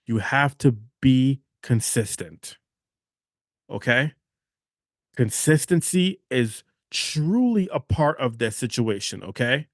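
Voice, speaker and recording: A man's voice: mid-range voice, big pitch swings, slow, no echo, clean studio sound. A man hosting a motivational podcast, talking emphatically, repeating phrases and checking in with "okay?". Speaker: podcast host.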